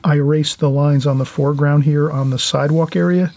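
Higher-voiced man teaching watercolor. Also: steady tone, a little fast, dark tone. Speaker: painting instructor, channel host. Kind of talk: informal watercolor tutorial. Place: indoors at a painting table.

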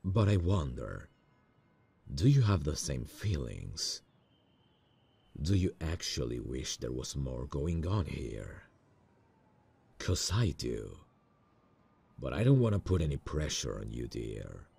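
A man acts out a tender, earnest male boss in a romantic audio roleplay. His voice sits extremely low and is husky and full of fry. He speaks intimately and hesitantly, like someone nervous and in love. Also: big pitch swings, heavily acted. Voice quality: very deep, gravelly, husky. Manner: hesitant, slow, soft-hearted.

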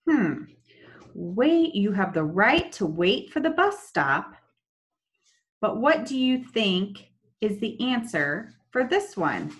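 A young woman teaching children in an online lesson, talking slowly and in a sing-song way over a laptop webcam. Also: medium pitch, big pitch swings. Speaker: special education teacher. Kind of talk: online lesson with screen share. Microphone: laptop webcam, video call.

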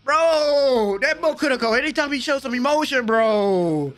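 A young man doing excited live reaction commentary, slangy and exclamatory, repeating words, on a close mic in a small room at home. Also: very high-pitched, normal speed, faint music behind. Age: young adult. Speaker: anime reaction host. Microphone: close desk microphone with headset on.

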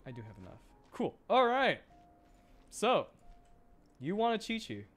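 A man's voice: high-pitched, huge pitch swings, measured pace, fairly bright tone, faint music behind. A young man, chatting casually and playfully in a rambling way as he livestreams a game. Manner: playful, rambling, laughs often. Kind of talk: casual gaming livestream chat.

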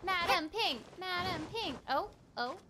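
A woman's voice: extremely high pitch, lively intonation, measured pace, noisy background. A young woman chatting casually and playfully on a game livestream, giggly and given to little exclamations. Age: young adult. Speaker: game streamer and voice actress. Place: indoor room at home. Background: video game music.

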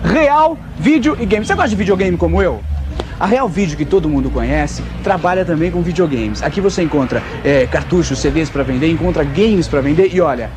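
A man, presenting an informal, playful TV shopping pitch from inside a shop. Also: brisk, over music. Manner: animated, playful, joking. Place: video game shop, indoors.

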